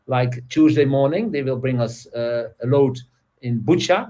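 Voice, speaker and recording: A man with a Dutch accent, a guest in casual chat, talking haltingly with many fillers and restarts. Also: mid-range voice, mellow. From Netherlands.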